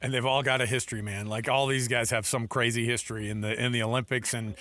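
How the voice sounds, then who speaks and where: Bright, dry-sounding man. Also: medium pitch, level tone, fast, no room sound. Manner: enthusiastic. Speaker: sports radio host. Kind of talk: casual sports talk.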